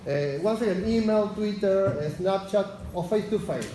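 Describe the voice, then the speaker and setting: Man, Argentine Spanish accent, university lecturer, fast-talking, high voice, echoey lecture hall. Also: mellow. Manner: fast-talking, frequent "yes?" check-ins, filler "uh". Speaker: university lecturer, unit leader. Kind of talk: lecture introduction. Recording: echoey lecture hall, faint background noise.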